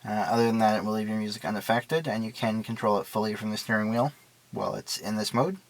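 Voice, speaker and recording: A man's voice: fairly low pitch, steady tone, fast talker. A man giving a casual, unscripted product walkthrough in a matter-of-fact, explanatory way. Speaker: product reviewer and demonstrator.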